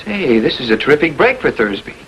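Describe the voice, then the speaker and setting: An actor playing a sardonic, smooth-talking man in a 1940s American TV crime drama, with dry, mock-affectionate sarcasm. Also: medium pitch, very animated, brisk, natural voice. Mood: smug. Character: sardonic, smooth-talking man, coolly needling his lover. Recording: dull, noisy 1940s film soundtrack.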